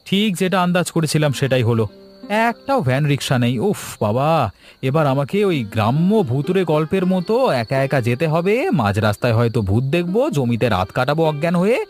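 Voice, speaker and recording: A man's voice: a little high, sing-song, moderate pace, darkish tone, soft background music, voice pushed up. A man voicing a polite, uneasy young male traveller in a cartoon story, chatty and a little hesitant. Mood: grumbling.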